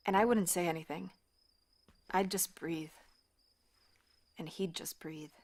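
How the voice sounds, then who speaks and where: A woman playing a warm, candid woman in a naturalistic audio drama, speaking in an open, earnest, conversational way. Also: lowish voice, steady tone, slow pace. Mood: wistful, intimate. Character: warm, candid woman caught up in a smuggling scheme.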